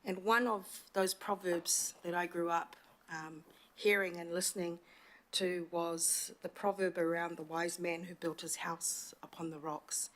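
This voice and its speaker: A middle-aged woman from Samoa, whose first language is Samoan, speaking in a formal council debate into a desk microphone, measured and reaching for proverbs. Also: lowish voice. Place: large council chamber indoors.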